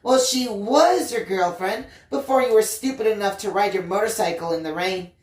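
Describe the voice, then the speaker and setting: A woman's voice: mid-range voice, moderate pace, reverberant, far from the mic, voice pushed up, natural voice. A woman voicing a bratty, teasing little girl in a storybook reading, with a sing-song, mocking delivery. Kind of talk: dramatised audiobook reading.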